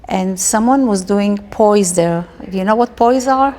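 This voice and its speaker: A woman's voice: mid-range voice, a little slow, a little mellow. A middle-aged woman from Israel with an Israeli accent, telling personal stories casually as an interviewee.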